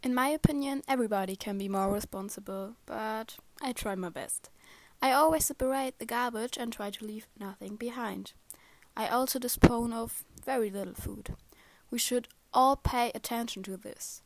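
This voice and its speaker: A woman's voice: a little high, moderate pace, no room sound. Earnest young woman, dry sound. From Germany. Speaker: podcast host. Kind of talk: reading prepared remarks.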